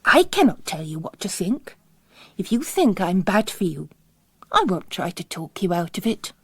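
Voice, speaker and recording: A woman voicing a mysterious male priest, calm, formal and philosophical, with a quietly menacing way of preaching. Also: lowish voice, husky, dry recording, heavily acted. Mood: cool, courteous. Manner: measured, formal, sermonizing.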